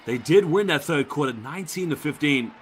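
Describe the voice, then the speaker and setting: A man with a London accent doing live basketball commentary, chatty and reading out viewer shout-outs between plays. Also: fairly high pitch, expressive, a little fast, slight background noise. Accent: London English. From England. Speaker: play-by-play commentator.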